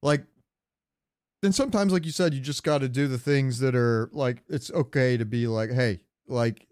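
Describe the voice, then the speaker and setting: Man chatting casually, smooth clear voice. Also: mid-range voice, moderate pace, darkish tone, dry recording, clean studio sound. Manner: rambling, informal, frequent swearing. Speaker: podcast co-host. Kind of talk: casual banter about video games.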